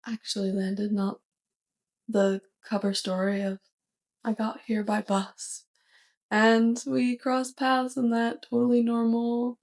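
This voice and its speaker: A woman playing a curious, polite, awkward alien roommate in an ASMR roleplay, with soft, stilted, over-formal and hesitant speech. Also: a little high. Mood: reminiscing, awkward.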